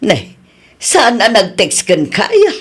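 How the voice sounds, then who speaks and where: An actress playing a middle-aged village aunt in an Ilocano radio comedy-drama, chatty and expressive, with a Filipino accent. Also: female voice, mid-range voice, slow, slightly rough, full character voice.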